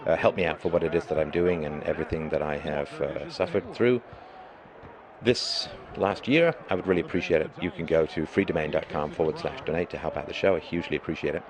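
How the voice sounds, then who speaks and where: Man, middle-aged, very low voice, hoarse, emphatic lecturing monologue, crowd noise behind. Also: steady tone, fast talker. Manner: emphatic, lecturing, with rising bursts of stress. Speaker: live stream host. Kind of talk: monologue commentary.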